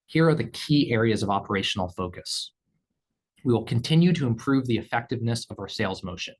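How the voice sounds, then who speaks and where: A man speaking as a company executive, reading scripted earnings call remarks in a measured, formal way. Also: on the low side. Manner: measured, formal read delivery.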